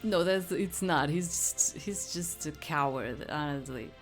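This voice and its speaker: A woman's voice: low-pitched, measured pace, faint music behind. A woman giving casual, rambling commentary as she plays a game, hesitant and often trailing off. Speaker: let's play host. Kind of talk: casual gameplay commentary with read-aloud dialogue.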